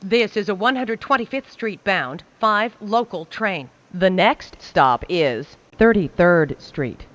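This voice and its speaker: A woman reading scripted subway train announcements in a measured, carefully enunciated way, phrase by phrase. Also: mid-range voice, expressive. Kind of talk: scripted automated transit announcements.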